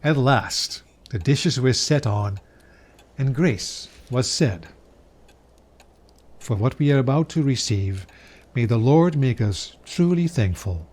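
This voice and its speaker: A man giving a dramatic storybook reading of a Victorian Christmas tale, savoring the words with expressive pauses. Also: medium pitch. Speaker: storyteller reading a classic novel aloud.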